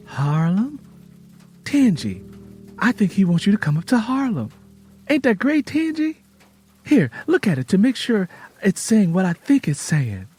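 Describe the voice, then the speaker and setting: Man voicing an earnest high-pitched rural Southern woman. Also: wildly swooping pitch, measured pace, a little mellow, breathy edge, faint music behind, exaggerated voice. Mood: hopeful excitement. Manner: plain folksy speech, urgent and coaxing.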